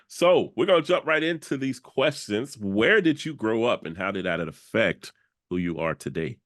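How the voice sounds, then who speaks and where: A young adult man hosts a podcast with upbeat, exclamatory enthusiasm. His voice sits on the low side and is recorded dry, with no room sound. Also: expressive, normal speed, a bit bright, dry recording. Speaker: podcast host. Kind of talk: casual interview chat.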